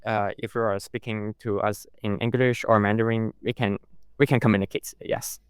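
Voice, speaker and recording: A man from Hong Kong with a Hong Kong accent, a guest on a podcast speaking English as a second language, talking haltingly with many fillers and restarts. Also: fairly low pitch, steady tone, smooth, no room sound, studio quality. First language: Cantonese. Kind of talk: casual interview talk.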